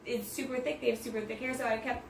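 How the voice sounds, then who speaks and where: A woman with a mid-pitched voice talks in a loose, chatty way, asking questions and telling stories about herself. The mic sits at a distance in an echoey room. A clear hiss runs underneath. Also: steady tone, fast talker. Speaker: podcast co-host. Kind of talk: casual chat.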